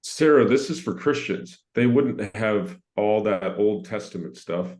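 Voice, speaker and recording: A man's voice: on the low side, lively intonation, unhurried, darkish tone. A man playing a teasing, wisecracking TV crew colleague with a dry manner at a comedy table read. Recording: roomy, echoing table-read sound.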